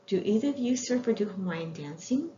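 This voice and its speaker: A woman's voice: mid-range voice, animated, a little fast, warm tone, voice pushed up, lightly acted. A woman voicing a shy, polite young girl earnestly in a children's storybook reading. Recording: echoey room, dull sound, faint noise.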